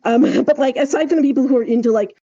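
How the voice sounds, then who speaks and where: A woman's voice: high voice, fast talker, mellow, muffled recording. A woman co-hosting a casual podcast discussion, explaining her points emphatically and often checking with a tag question. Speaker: podcast co-host.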